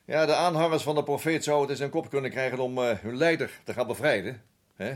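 An actor playing a blunt, world-weary colonial army captain in a radio play, talking in a familiar, musing way. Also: male voice, mid-range voice, fast, natural voice. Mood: blunt, wary.

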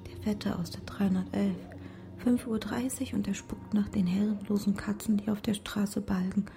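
An actress playing an uneasy young working woman in a radio drama, speaking in a measured, breathy whisper. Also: medium pitch, mellow, natural voice. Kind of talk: hushed radio drama monologue.

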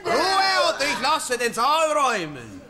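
An actor playing an officious, excitable interrogating judge in a comic radio play, blustering and scolding. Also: male voice, very high-pitched, slow, very noisy, full character voice.

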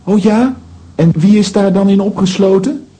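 A woman's voice: moderate pace, dark tone, natural voice. A woman playing a confident, teasing young boy in a children's audio drama. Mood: sceptical. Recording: faint noise and low rumble.

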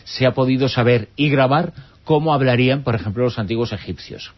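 A man's voice: medium pitch, brisk. A man hosting a late-night radio talk show from a studio, introducing guests with many hesitant fillers. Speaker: radio host. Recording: dull lo-fi radio broadcast.

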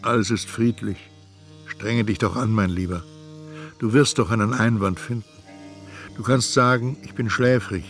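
An actor playing an anxious, brooding storyteller who talks to himself in a literary radio drama. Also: male voice, on the low side. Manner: introspective, talking to himself. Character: anxious, brooding first-person male storyteller. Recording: music behind the voice.

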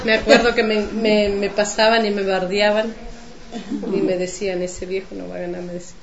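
A woman's voice: medium pitch, level tone, fairly quick, natural voice. A woman playing a chatty neighbour in a colloquial radio drama. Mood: indignant. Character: chatty neighbour woman gossiping about elections over mate. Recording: echoey room, muffled lo-fi, crowd chatter.

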